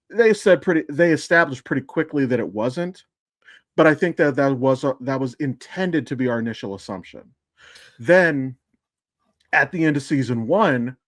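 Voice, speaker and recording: A man with a medium-pitched voice chats as a podcast co-host. He talks in a loose, informal fan-discussion style and swears freely. The sound is dry, with very little room in it. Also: no room sound. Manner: informal, profane.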